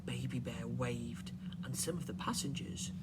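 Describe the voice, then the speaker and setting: A man's voice: medium pitch, unhurried. A man reading a children's story aloud as a primary teacher, expressively, with questions to young listeners. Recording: clearly noisy background.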